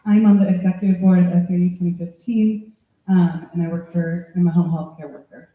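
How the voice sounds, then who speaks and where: A man interprets a speech passage by passage. His voice is high, his delivery measured and a little halting. The sound is thin as a phone line and very echoey. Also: steady tone. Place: indoor public meeting hall.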